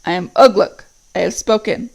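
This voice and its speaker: A woman acts out a hulking orc captain in a storybook reading. He growls slow and heavy, with a gravelly edge, and barks orders as if nobody dares argue. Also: medium pitch, a little mellow. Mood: boastful. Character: huge male orc captain, commanding, brutal.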